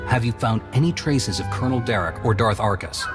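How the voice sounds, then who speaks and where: A male actor plays a stern, resolute adventurer in a game cutscene. His voice is lowish, serious and measured. Music runs clearly underneath. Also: lively intonation, natural voice. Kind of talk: video game voice acting.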